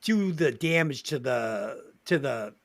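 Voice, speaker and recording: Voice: mid-range voice, lively intonation, slow pace. A podcast co-host in casual comedy movie-review chat, reading out plot summaries and swearing casually.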